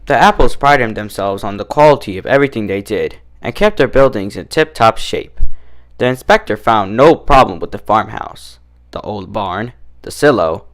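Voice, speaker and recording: A man reading fan fiction aloud as an expressive storybook narrator. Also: fairly low pitch, moderate pace.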